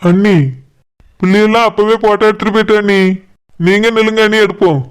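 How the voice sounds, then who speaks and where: A woman voicing a polite, soft-mannered young village man in a cartoon, agreeable in the way the lines are spoken. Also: medium pitch, flat delivery, fast talker, clear, resonant tone, close to an everyday voice. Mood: deferential. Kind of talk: cartoon drama dialogue.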